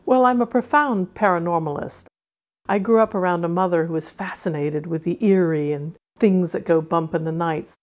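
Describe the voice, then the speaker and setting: A woman's voice: fairly low pitch, moderate pace, a little mellow. A woman author with a mid-Atlantic accent, from Wales and Arizona, speaking about and reading her fiction. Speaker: author reading and discussing own novel.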